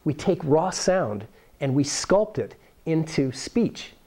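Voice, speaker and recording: A man's voice: on the high side, very animated, slow pace, dark tone. A middle-aged American man presenting a science how-to demonstration, explaining step by step on a lapel microphone. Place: school workshop or lab room, indoors.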